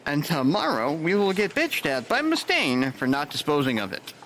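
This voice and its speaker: Guy doing a snarky foul-mouthed hospital worker, lively voice, bit of background hum. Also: on the high side, moderate pace, poor audio. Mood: sardonic. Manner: sarcastic, crude, animated. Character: sardonic male hospital worker, cynical.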